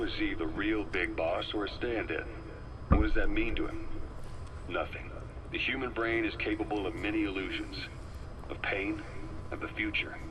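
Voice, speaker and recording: Man acting low-voiced calculating spy, noisy tape. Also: lightly acted. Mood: calm, calculating. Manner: measured, deliberate, cryptic. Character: shrewd middle-aged male spy and hypnotist, loyal, calculating. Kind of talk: scripted video game voice acting on a cassette tape.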